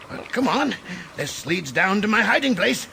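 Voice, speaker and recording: A man's voice: sits high, creaky, sharp tone, slight background noise, exaggerated voice. A man playing a friendly old rogue trader in a space opera audio drama, folksy, rambling and given to nervous chuckles. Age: older.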